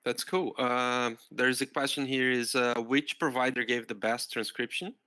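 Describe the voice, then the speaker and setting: A man on a video call reads audience questions to the presenter in a relaxed, easygoing manner. His voice is bright and sharp-edged, and it comes through smooth and crisp. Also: medium pitch, normal speed, no room sound. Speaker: mentor moderating questions. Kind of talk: online Q&A moderation.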